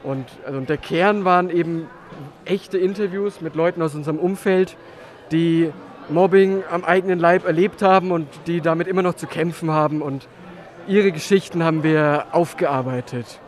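A man's voice: fairly high pitch, normal speed. A man in his early twenties, a young filmmaker, explaining his project earnestly. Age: 20s.

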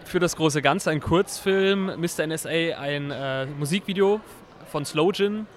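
Man, 19, young filmmaker, slightly high pitch, measured, outdoors. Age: teens. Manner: measured, explanatory, with fillers.

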